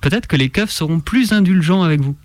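A man's voice: a little high, expressive, brisk, background noise. A man on a radio show delivering a satirical scripted monologue in a colloquial, ironic, mock-naive way.

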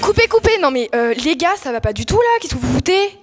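A bossy director fed up with the cast, played by a woman in a radio play. The voice is high, impatient and casual, with some music behind it. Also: big pitch swings, fairly quick. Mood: exasperated. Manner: impatient, colloquial. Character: bossy, exasperated director cutting off actors. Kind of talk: audio drama acting.

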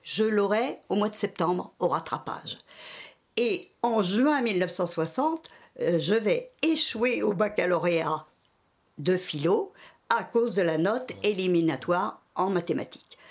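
An older French woman recalling her schooling and early adult life in an interview, telling it in a measured way in the present tense. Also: on the low side. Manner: measured storytelling in the historic present. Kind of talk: oral history interview.

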